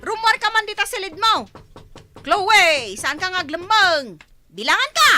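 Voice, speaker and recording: An actress playing a scolding, exasperated older sister in a radio drama. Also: female voice, very high-pitched, big pitch swings, unhurried, sharp tone, faint music behind, heavily acted.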